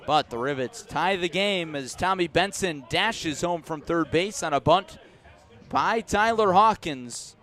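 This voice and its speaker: An American man doing live radio baseball play-by-play, measured in description and lifting his voice for the action. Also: on the high side. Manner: measured description rising to excited calls. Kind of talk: live radio sports commentary.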